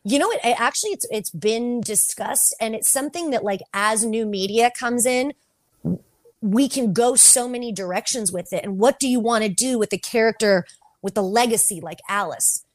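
Brief: talkative woman guest, slightly high voice, close dry mic. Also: normal speed, no room sound. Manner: chatty, heavy use of "like". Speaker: podcast guest. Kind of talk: casual chat.

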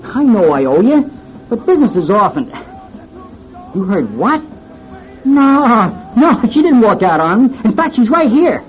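An actor playing the brash, wheedling owner of a seedy go-go club in a classic radio drama, with slangy, excitable hustler talk. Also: male voice, high-pitched, measured pace, pauses often, faint music behind. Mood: anxious, pleading. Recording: muffled old radio drama recording.

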